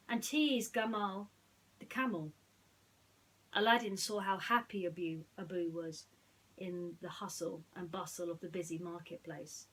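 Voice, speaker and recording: A woman speaking in an earnest, slightly halting way, as a storyteller chatting and reading to children. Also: mid-range voice, fairly bright tone, low-quality recording. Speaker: storyteller reading bedtime stories.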